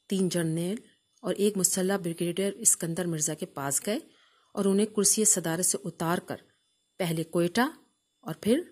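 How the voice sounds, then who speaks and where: Woman, Pakistani accent, mid-pitched voice, audiobook narration, scripted literary reading, measured and formal delivery. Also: darkish tone, husky.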